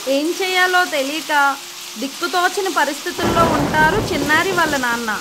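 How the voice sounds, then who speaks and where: A woman's voice: high-pitched, background noise. A woman narrating a scripted children's moral story as a storyteller.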